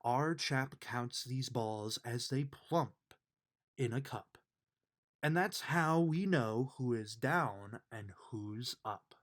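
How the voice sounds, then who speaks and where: A man reading a rhyming children's bedtime book aloud in an expressive, sing-song way. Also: mid-range voice, takes it slowly.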